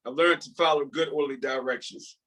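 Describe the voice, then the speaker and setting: A man playing a humble, grateful, devout ex-prisoner in a script table read, sincere and halting. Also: medium pitch, fairly even intonation, fairly bright tone, lightly acted. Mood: earnest. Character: earnest, grateful, devout male ex-prisoner starting over.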